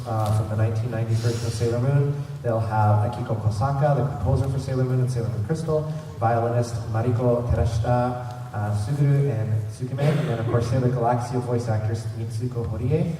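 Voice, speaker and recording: A man's voice: medium pitch, dark tone. A man hosting a fan convention panel, talking informally and a bit ramblingly to a live audience in a big hall. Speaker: panel host running a fan convention trivia session. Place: large indoor convention hall. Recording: very echoey hall from far off, noisy. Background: room ambience and noise.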